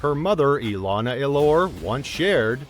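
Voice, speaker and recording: A man of middle pitch narrates a sports documentary in a steady, even read-aloud manner. His delivery stays scripted and measured throughout, with soft music playing faintly underneath. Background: faint background music.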